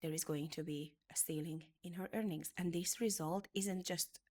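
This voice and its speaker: Hungarian-born woman, native Hungarian speaker, motivational beauty educator, earnest, slightly low voice. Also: fairly even intonation, normal speed, darkish tone. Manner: earnest, emphatic, repeats phrases for effect. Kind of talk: motivational talk to camera.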